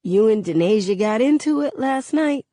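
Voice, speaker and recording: A woman's voice: on the high side, lively intonation, normal speed, dark tone, dry recording, studio quality, pitched above the performer's own voice, lightly acted. A woman voicing a guarded, grieving teenage girl who speaks in a subdued mutter in an audiobook. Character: guarded, grieving teenage girl, quietly holding back tears.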